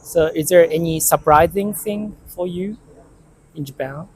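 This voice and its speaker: Soft-spoken male street interviewer. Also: a little high, a little slow, husky, rough recording. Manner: soft, brief encouraging replies.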